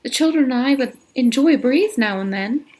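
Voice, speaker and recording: A woman voicing a young schoolteacher in a storybook reading, speaking high and strained. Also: animated, moderate pace, darkish tone, poor audio. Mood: flustered. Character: young schoolteacher woman, lovesick then desperate.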